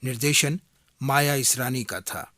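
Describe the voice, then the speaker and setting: A male radio announcer reads formal broadcast copy. He is measured and unhurried, with a mid-range voice. The sound is close and dry. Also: medium pitch, sharp tone, no room sound. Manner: measured, deliberate.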